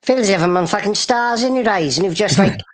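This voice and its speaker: A man joining a live stream as a guest, excitable and quick to swear. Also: high voice. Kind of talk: casual live-stream chat.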